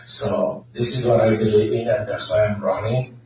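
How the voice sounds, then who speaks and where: A man's voice: fairly low pitch, level tone, moderate pace, a little hiss or hum. A man running for city council, answering forum questions hesitantly with many fillers. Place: public meeting hall. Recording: echoey hall, distant mic.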